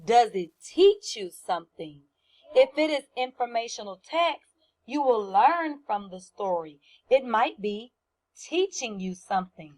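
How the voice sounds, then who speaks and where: A woman with a fairly high voice speaks as a first-grade teacher. She goes very slowly through a lesson for young children, explaining in simple terms. She repeats key points and puts questions to her listeners. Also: sing-song, a little mellow, poor audio.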